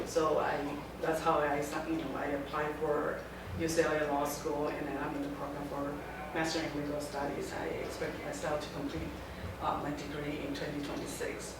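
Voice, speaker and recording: A middle-aged woman from Taiwan speaks to a group of students, her phrases halting and often starting over. She is picked up from a distance in a strongly echoing room. Steady background noise runs underneath. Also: deep voice. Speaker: guest speaker. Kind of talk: informal talk to a student group. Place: lecture room. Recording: very echoey room, mic far off, background noise.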